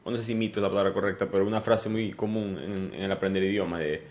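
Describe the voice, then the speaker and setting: A man's voice: low-pitched, steady tone, fast, darkish tone, a little hiss or hum. A man hosting a podcast interview who talks reflectively and poses questions to his guest. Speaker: podcast host.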